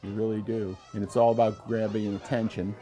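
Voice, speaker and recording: A man's voice: fairly low pitch, little pitch movement, a little fast, muffled recording, loud music behind the voice. An American man in his sixties, a musician, casually reminiscing in an interview. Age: 60s. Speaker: musician and songwriter in a band.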